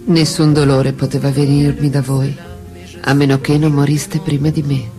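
A woman in a radio drama playing a mature, dignified French writer who looks back on love in a measured, reflective way. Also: sits low, flat delivery, music in the background, close to an everyday voice. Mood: reflective, intimate. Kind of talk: theatrical radio drama monologue.

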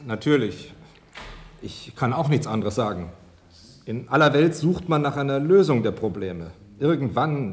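A man playing an earnest, evasive doctor in a 1970s radio drama, speaking in a measured, resigned way. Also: medium pitch, lightly acted. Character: earnest, evasive male doctor facing the press.

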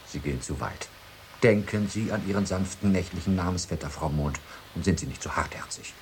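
An actor in a German radio drama playing a melancholy, courteous sea captain with a formal way of speaking. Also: male voice, deep voice, a little fast, a little hiss or hum. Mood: gently admonishing.

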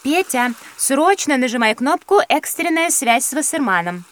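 A woman's voice: high-pitched, sing-song, normal speed. A woman voicing a polite, inquisitive little girl in an educational audio play for children. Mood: polite, eager.

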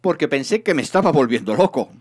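A man voicing an excitable, breezy male space hitchhiker in a comic science fiction audiobook, airy, offhand and teasing. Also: a little high, fast, pitched above the performer's own voice.

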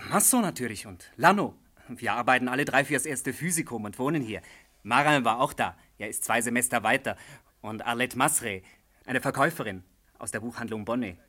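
A young male medical student from a radio play. His voice is forceful and sharp at medium pitch, and he comes across as touchy, hesitant and on the defensive. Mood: relieved, uneasy. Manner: touchy, hesitant, quick to bristle. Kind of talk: German radio play acting.

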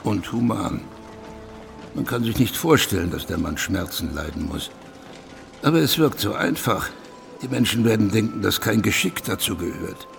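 An actor playing a matter-of-fact professional executioner in a radio drama, speaking in a measured, officious way. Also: male voice, lowish voice, expressive, creaky, soft background music. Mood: dry, sceptical.

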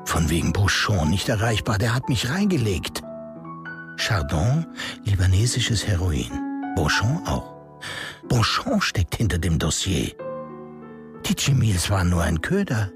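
An actor playing a scheming male politician, heard as a hushed, half-whispered inner monologue in a radio drama. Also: low voice, big pitch swings, a little slow, pauses often, over music, exaggerated voice. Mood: bitter.

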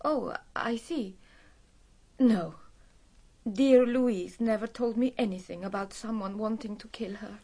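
An actress playing a highly strung, theatrical married woman in a radio drama, emotional and defensive. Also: measured pace, mellow. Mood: defensive, nervous.

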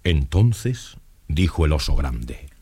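A man's voice: very deep voice, sing-song, takes it slowly, dark tone, husky. A man narrating a children's story in a scripted storytelling style. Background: light music.

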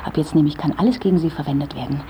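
A woman acting a career-hungry reporter in a radio drama, with a low-pitched voice. She is sardonic and provocative, pressing her accusations with pointed mockery. There is clear noise behind her. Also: very fast, warm tone, close to an everyday voice. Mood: accusing, sardonic. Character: sharp, mocking career-driven female journalist confronting a writer. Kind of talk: German radio play acting.

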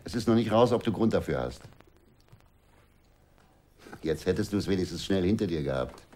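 A man's voice: sits low, lively intonation, normal speed, darkish tone. An actor playing a harsh, commanding male gang leader in a radio drama, curt and scornful.

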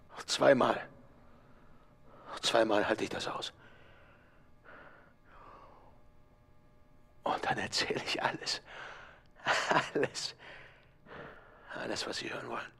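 An actor playing a tormented, broken prisoner in a radio drama, pleading and muttering in fragmented repetitions between whispers and outcries. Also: male voice, medium pitch, creaky, fairly bright tone, breathy edge, full character voice. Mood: desperate. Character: tormented male prisoner under torture, broken, delirious, pleading. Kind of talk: German radio drama acting.

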